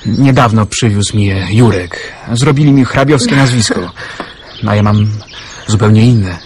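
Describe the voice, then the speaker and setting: An actor playing an earnest wartime suitor in a radio drama, hesitant and husky. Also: male voice, lowish voice, measured pace, noisy background, lightly acted. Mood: tender, teasing. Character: earnest, teasing man in love, wartime suitor.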